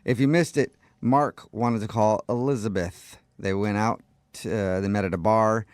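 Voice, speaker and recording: A man's voice: fairly low pitch, fairly even intonation, normal speed, darkish tone, clear, resonant tone, dry recording. A man hosting a radio morning show, bantering and recapping in a teasing, joking manner. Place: radio studio.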